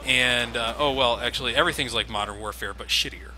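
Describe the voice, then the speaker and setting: A man's voice: mid-range voice, fast. A man giving sarcastic, casual commentary over his own gameplay, swearing freely. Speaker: let's play commentator. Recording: game noise underneath.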